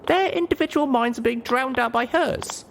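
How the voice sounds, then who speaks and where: A man voicing a refined, thoughtful female pony in a hushed, careful way for an audio story. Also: very high-pitched, normal speed, gravelly, a little hiss or hum, voice pushed up, heavily acted. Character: Velvet Remedy, refined female pony, soft-spoken and thoughtful.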